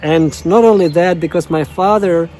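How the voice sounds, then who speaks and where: Soft-voiced man with a tongue. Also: on the high side, slight background noise. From Russia, Novosibirsk. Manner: halting, many fillers and restarts. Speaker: coach. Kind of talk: casual self-introduction monologue.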